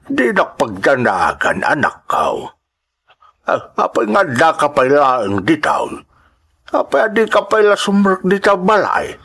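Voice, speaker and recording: A man with an Ilocano accent playing a gentle, caring old father with a husky voice in a radio drama. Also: on the high side, huge pitch swings, unhurried, full character voice. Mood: concerned.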